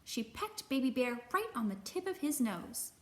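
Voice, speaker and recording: A woman hosting a children's storytime, reading a picture book aloud in an expressive, animated way with sound effects. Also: high-pitched, moderate pace, echoey room. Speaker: children's librarian, storytime host.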